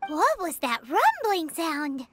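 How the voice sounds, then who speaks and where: A tiny floating fairy girl for an anime game, squeaky and extremely high. She is cheeky and excitable, swinging up and down as she exclaims, with loud music behind her. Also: takes it slowly, heavily acted. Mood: excited. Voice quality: squeaky, childlike. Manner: exclamatory, refers to herself by name.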